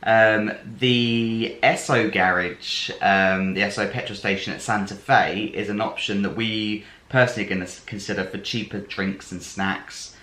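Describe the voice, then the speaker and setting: A man vlogging, chiming in with relaxed, hesitant travel tips. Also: on the low side, normal speed, hardly any pauses. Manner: relaxed, hesitant, adds asides. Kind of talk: casual planning chat. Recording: echoey room.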